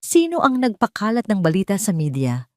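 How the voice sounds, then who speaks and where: A woman's voice: medium pitch, huge pitch swings, moderate pace, no echo, clean studio sound. A woman reading a scripted dramatic story as its narrator.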